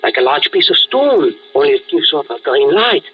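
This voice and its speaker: An actor playing a fretful German innkeeper with a raspy voice and an excitable, anxious manner in a 1940s-style radio drama. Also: male voice, fairly high pitch, normal speed. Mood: curious, sceptical. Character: nervous, fretful German innkeeper, reluctant Nazi accomplice. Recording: muffled vintage radio recording.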